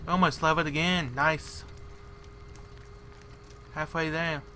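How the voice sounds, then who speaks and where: A man's voice: on the high side, steady tone, takes it slowly, bright, forceful tone, slight background noise. A man giving casual, off-the-cuff video game commentary, muttering to himself in short fragments. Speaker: gamer, let's player.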